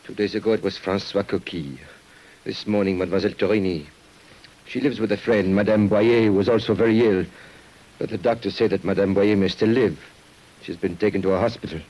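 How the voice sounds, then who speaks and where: A man's voice: lowish voice, steady tone, brisk, a little mellow, natural voice, poor audio. A man playing a troubled, helpless character with an earnest, dramatic delivery in a mid-century radio mystery. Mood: grim. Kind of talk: 1950s radio drama acting. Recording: hissy old radio recording.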